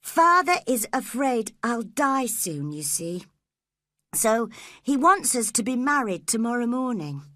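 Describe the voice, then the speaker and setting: A woman's voice: fairly high pitch, sing-song, measured pace, sharp tone, dry recording, studio quality, voice pushed up, heavily acted. A woman voicing a sickly, terrified teenage boy who sobs and pleads breathlessly. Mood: whining.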